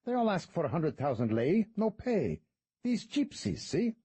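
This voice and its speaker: A man voicing a tall, greedy guide who barks in broken English, pushed much higher than his own voice. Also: big pitch swings, unhurried, dark tone, slightly rough, dry recording, exaggerated voice. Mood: scornful. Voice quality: raised, strained pitch. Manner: barking, brusque broken English. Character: menacing greedy male Romanian guide, tall.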